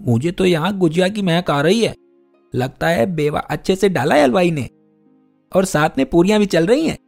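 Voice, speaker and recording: A man's voice: high voice, expressive, normal speed, creaky, darkish tone, faint music behind, full character voice. A man voicing a wary, hungry little boy from a poor home in a story, hesitant and grumbling.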